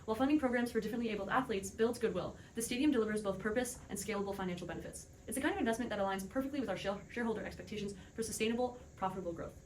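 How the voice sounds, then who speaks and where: Very rapid-talking woman presenter. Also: mid-range voice, darkish tone, a little hiss or hum, poor audio. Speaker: panelist in a business role play. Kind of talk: scripted debate presentation.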